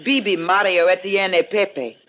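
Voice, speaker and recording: An actress playing a cold, scornful murderous villainess, theatrical and mock-gracious, in 1950s radio drama style. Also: female voice, medium pitch, steady tone, unhurried, fairly bright tone, close to an everyday voice. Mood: scornful, commanding. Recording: muffled old radio recording, hiss.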